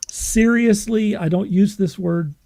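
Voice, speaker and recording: A man hosting a podcast, reviewing things in an enthusiastic, casual way, emphatic and effusive with halting repetition. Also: sits high, dark tone. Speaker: podcast host.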